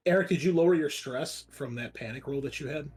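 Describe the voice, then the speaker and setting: A man's voice: a little high, fast talker. A man playing a tabletop game, chatting casually and thinking aloud in a hesitant way. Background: soft music.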